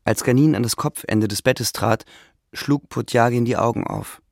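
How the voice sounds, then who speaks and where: A man narrating a literary radio play from a script in measured storytelling. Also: medium pitch, darkish tone, no room sound, studio quality.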